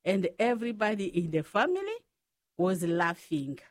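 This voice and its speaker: A woman from the Democratic Republic of the Congo with a Congolese accent, telling personal stories as an interview guest in short, halting phrases of non-native English. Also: mid-range voice, big pitch swings, dry recording. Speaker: guest, Franciscan sister.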